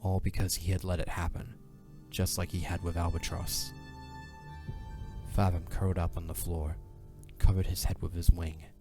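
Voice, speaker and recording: A man giving a dramatic scripted narration in a solemn, measured way. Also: deep voice, level tone, music in the background.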